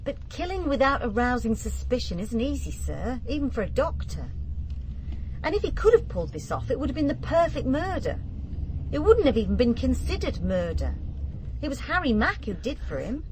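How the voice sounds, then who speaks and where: An actress playing a sharp junior police detective, respectful but probing, in a radio drama. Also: female voice, high-pitched, big pitch swings, moderate pace, slight background noise, poor audio. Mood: probing, doubtful. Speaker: actor in a radio mystery.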